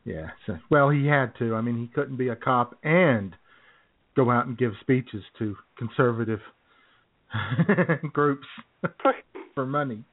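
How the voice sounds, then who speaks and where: A man's voice: mid-range voice, takes it slowly, pauses often. A man co-hosting a radio show with casual, wry joking banter and frequent chuckles, heard over a phone-like connection. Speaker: co-host of a political comedy radio show. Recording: tinny phone-line internet radio audio.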